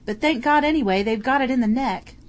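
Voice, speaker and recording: A woman reading an audiobook voices a gruff male soldier who yells back brusquely at his comrade. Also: high voice, a little fast, pitched above the performer's own voice, lightly acted. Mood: brusque yelling. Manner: yelling, brusque.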